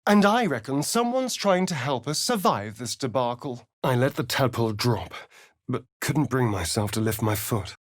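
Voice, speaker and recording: An actor playing a sly, vain vampire spawn rogue in a video game, speaking in an arch, theatrical drawl. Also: male voice, medium pitch, animated, moderate pace, no echo, clean studio sound, close to an everyday voice. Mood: dramatic recollection. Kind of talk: video game character voice acting.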